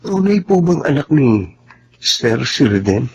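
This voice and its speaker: Loyal young-hearted male driver: earnest, deferential, mid-pitched, slightly rough voice, dull audio. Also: very animated, unhurried, slight background noise. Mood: grave, pleading. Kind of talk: Filipino radio drama acting.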